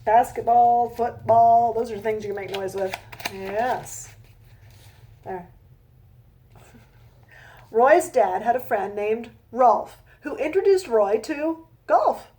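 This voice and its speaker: A woman author reading her children's book aloud in a gentle sing-song manner, with chatty asides to young listeners. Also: on the high side, takes it slowly, long pauses.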